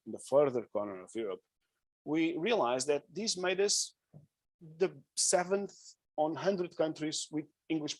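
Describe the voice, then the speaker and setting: A man's voice: on the high side, slightly rough, no room sound. A Portuguese man with a Portuguese accent giving an informal conference presentation, joking at his own expense and using many fillers. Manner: informal, self-deprecating, with frequent fillers and restarts. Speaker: conference speaker. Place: conference room.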